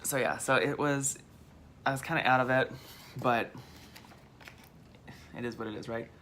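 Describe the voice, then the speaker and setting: Chatty man with a high voice. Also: steady tone, moderate pace, pushed and bright, slight background noise. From Minnesota. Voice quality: slightly hoarse. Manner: chatty, rambling, self-deprecating. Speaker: vlogger. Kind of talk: casual storytelling vlog.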